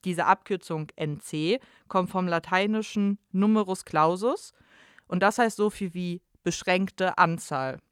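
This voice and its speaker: A woman hosting a university podcast, asking questions and explaining things in a clear, organized way. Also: lowish voice, measured pace, no echo, studio quality. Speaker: podcast host, university staff. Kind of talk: friendly podcast interviewing.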